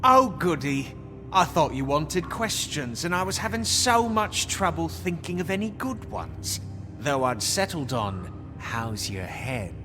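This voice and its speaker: Casting: defiant game wisecracker, highish voice, bouncy inflection, sarcastic, reverberant with music behind. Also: male voice, very animated, measured pace. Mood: mocking. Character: cheeky, sarcastic, defiant male suspect under questioning. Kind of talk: video game dramatic acting.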